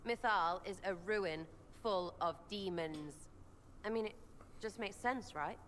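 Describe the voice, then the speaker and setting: A woman's voice: medium pitch, measured pace, fairly bright tone, in a large hall, slight background noise, natural voice. A woman playing a brash, blunt young archer in a video game, slangy and scornful. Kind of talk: video game character dialogue.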